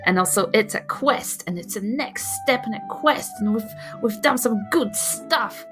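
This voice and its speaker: A woman playing a tabletop game, chatting casually and improvising with gushing excitement. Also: fairly high pitch, measured pace, music in the background.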